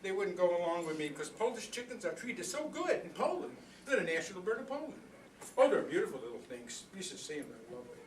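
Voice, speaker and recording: In an echoey room, a little far from the mic, an older man plays an old rooster telling a comic monologue. The voice is high for a man, and the delivery is folksy, earnest and rambling, like someone proudly sharing a philosophy of life. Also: big pitch swings, quick pace. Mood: conspiratorial, indignant. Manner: folksy, rambling, conversational storytelling with repeated phrases. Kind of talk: comic monologue performed live for an audience. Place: indoor workshop room before a group. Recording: echoey room, distant mic, background noise.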